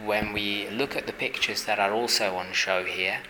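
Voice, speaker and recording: Halting low-voiced man, noisy gallery. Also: steady tone. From Hungary. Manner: thoughtful, halting, with many fillers and restarts. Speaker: artist. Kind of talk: exhibition interview. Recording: noisy ambience at an exhibition.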